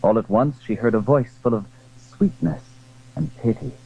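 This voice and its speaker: A man's voice: mid-range voice, normal speed, gravelly, mellow, husky. A man narrating a literary audio drama in a formal, dramatic storytelling style. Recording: muffled, narrow-band 1980s audio drama recording.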